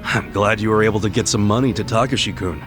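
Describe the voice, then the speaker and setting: A man's voice: fairly low pitch, fast, a bit bright, soft background music, natural voice. An actor playing an easygoing, brash, optimistic man in a video game dub, speaking in slangy, informal dialogue. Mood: friendly. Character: easygoing, brash, optimistic street-smart man, a loyal buddy.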